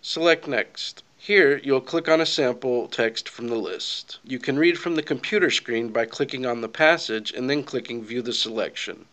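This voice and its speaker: A man reading scripted instructional narration for a software tutorial, giving clear step-by-step directions. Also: medium pitch, normal speed, few pauses.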